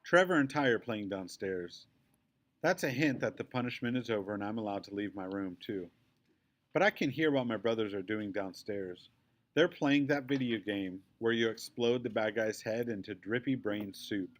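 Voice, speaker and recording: A man's voice: lowish voice, moderate pace. A man reading a children's book aloud in short, simple storytelling phrases.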